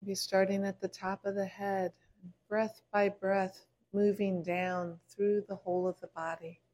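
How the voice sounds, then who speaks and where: Slow-paced woman guiding a meditation. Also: mid-range voice, level tone, rough recording. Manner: gentle, unhurried, pausing between short phrases. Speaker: meditation teacher. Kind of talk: guided meditation, body scan.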